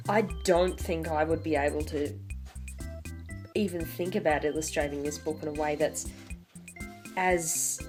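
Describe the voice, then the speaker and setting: A woman's voice: on the low side, a little mellow. A woman in her twenties hosting an online video, talking casually and reading a picture book aloud with theatrical emphasis. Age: 20s.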